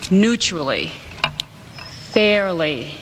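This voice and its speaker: Guy giving a formal speech, high voice, big echoey hall, slight noise. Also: big pitch swings, extremely slow. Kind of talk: formal, measured oratory. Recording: played clip from reverberant hall.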